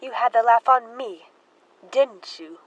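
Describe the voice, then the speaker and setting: A young woman playing a devout, unhinged murderous sister in an audio drama, with halting, fervent delivery. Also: on the high side, highs cut off, close to an everyday voice. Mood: fervent, eerie. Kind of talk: high school audio drama acting.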